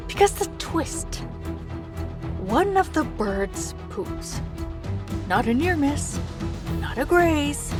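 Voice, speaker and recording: A woman's voice: high-pitched, exaggerated voice. A woman acting as a scared, bitter helpline caller in an audio drama, telling things in clipped, sardonic fragments.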